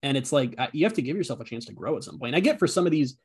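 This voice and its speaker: A man in his twenties co-hosting a sports podcast, chatting casually in an animated, self-deprecating way. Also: mid-range voice, rapid-fire, breathy edge. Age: 20s. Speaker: podcast co-host.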